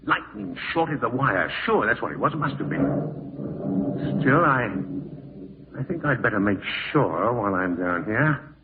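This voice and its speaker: A man's voice: medium pitch, big pitch swings, a little slow. An actor playing a callous, scheming man in a 1940s radio horror drama, muttering to himself and snappish, with melodramatic delivery. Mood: uneasy, muttering. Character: scheming, callous male relative plotting murder, panicking and irritable. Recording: scratchy, tinny old-time radio broadcast.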